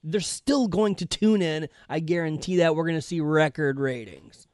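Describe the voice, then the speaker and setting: A man's voice: a little high, moderate pace, darkish tone, no room sound, studio quality. A man co-hosting a talk show, earnestly explaining his point of view at length. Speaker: co-host. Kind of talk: casual debate on a talk show.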